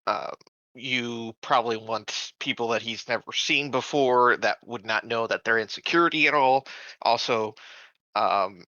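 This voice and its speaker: A man's voice: medium pitch, measured pace, bright, forceful tone, dry recording, highs cut off. A man playing a tabletop roleplaying game, casually chatting through tactics.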